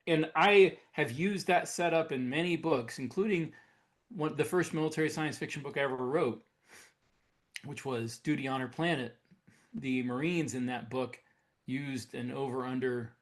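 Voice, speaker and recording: A man co-hosting a podcast, talking casually and conversationally with halting, searching phrasing. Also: mid-range voice. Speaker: podcast co-host, science fiction author.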